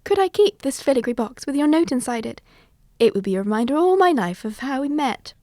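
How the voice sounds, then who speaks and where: Mystery ingenue: female actor as polite, earnest young schemer, high voice, dry close sound, old-time radio-play style. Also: very animated, brisk, darkish tone, no room sound. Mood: wistful gratitude. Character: Miss Norton, impressionable, polite young lady schemer, pleading. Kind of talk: old-fashioned detective radio drama acting.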